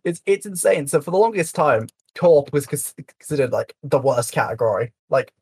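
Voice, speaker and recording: A young man from Yorkshire with a light Yorkshire accent, chatting casually as a guest, soft-spoken and a bit hesitant. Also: on the high side, expressive, normal speed, husky, no room sound. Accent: light Yorkshire English. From Yorkshire, England. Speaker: speedrunner guest. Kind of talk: casual chat.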